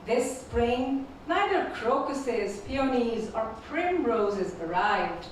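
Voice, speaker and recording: A woman whose first language is Urdu, reading her poems slowly and repeating each first line at a live poetry event. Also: a little high, animated, slight background noise. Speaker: featured poet. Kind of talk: poetry reading with spoken introductions. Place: performing arts venue. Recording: echoey hall from far off.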